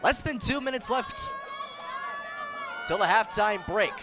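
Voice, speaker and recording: A man in his twenties calling live sports play-by-play in energetic, clipped phrases. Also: sits high, a little slow. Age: 20s. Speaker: play-by-play announcer. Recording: busy game crowd behind. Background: sports crowd and court noise.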